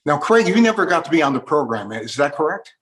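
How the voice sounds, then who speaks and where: A man interviewing guests in a measured, explanatory, conversational way. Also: a little high, very animated, fairly bright tone.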